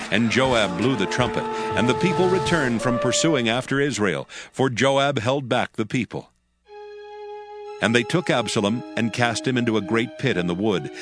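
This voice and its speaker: A man narrates a dramatized audio Bible in a somewhat low voice. He reads scripted scripture, with music clearly playing underneath. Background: background music.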